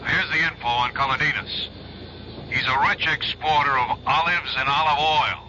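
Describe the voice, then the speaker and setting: An actor playing a brisk, authoritative intelligence chief in a 1970s radio drama. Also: male voice, a little high, sharp tone. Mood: businesslike. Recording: muffled, noisy old radio recording.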